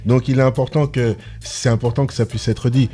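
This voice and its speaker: A man's voice: mid-range voice, steady tone, brisk, warm tone, slightly rough, soft background music. A man, a reggae artist, talking earnestly and passionately in a casual radio interview, rambling and restarting his sentences. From France, Congolese descent.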